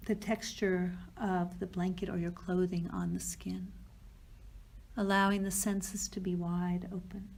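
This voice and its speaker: Woman slowly guiding a meditation. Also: fairly low pitch, little pitch movement, a little mellow. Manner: slow, soothing, unhurried phrases with pauses. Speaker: yoga nidra teacher. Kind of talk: guided meditation.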